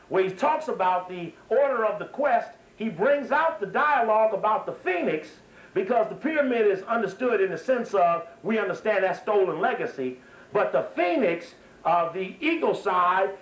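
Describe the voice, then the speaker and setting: High-pitched man lecturing in an echoey room. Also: lively intonation, moderate pace. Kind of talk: extemporaneous lecture to a live audience. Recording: echoey room, slightly distant microphone.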